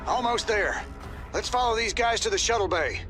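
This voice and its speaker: An actor playing a gruff, wry middle-aged rebel soldier in a video game cutscene, talking in a dry, terse drawl. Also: male voice, high voice, animated, moderate pace, fairly bright tone, faint music behind, low-quality recording. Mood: cool, confident.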